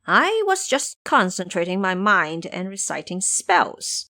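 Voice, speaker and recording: A woman voicing a sly, confident male monkey trickster with a teasing, smooth-talking manner in an audio storybook. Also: on the low side, big pitch swings, unhurried, bright, forceful tone, full character voice. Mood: sly explaining.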